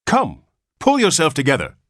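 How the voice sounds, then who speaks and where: A stern, no-nonsense nurse, voiced by a male narrator, speaking severely with a lifted pitch and lively rise and fall. The reading is recorded close and dry. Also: moderate pace, no room sound.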